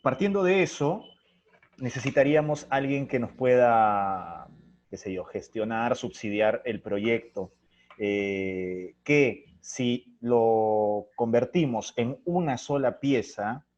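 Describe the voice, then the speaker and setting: A man's voice: mid-range voice, slow pace, a little mellow. Guy in an interview explaining stuff casually, theatre director, medium voice, bit muffled lo-fi.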